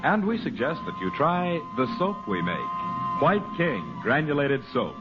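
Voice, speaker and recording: Animated vintage radio announcer, male. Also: fairly high pitch, measured pace. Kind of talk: 1940s radio advertising read. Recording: muffled vintage radio, music behind.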